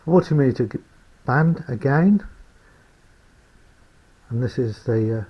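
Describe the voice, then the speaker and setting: A man informally presenting a hands-on demonstration of his homemade radio build, explaining it in a hesitant, halting way. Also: medium pitch, expressive, breathy edge, lo-fi sound.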